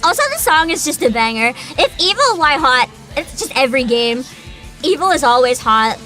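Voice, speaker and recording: High, giggly, excitable woman streamer. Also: normal speed, faint music behind. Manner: excitable, giggly, rambling with frequent laughter; reads a plot description aloud with asides. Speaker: VTuber streamer. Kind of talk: casual livestream chat.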